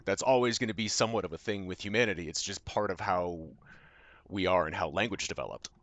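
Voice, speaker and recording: A man's voice: deep voice, fast talker, fairly bright tone, no room sound, dull audio. A young Canadian man with a Canadian accent, chatting casually with his stream viewers in a rambling, loose way with plenty of fillers and casual swearing. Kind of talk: casual chat with viewers while gaming.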